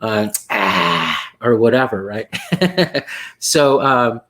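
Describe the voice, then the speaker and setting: A man's voice: on the low side, animated, drawn-out words, a bit bright. A man in his thirties with a South Texas accent, hosting a video-call panel and talking in rambling, self-correcting turns with Spanish words mixed in. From Rio Grande Valley, South Texas. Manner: rambling, many restarts, mixes Spanish words. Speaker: panel host. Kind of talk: casual panel discussion. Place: home room. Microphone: headset on video call.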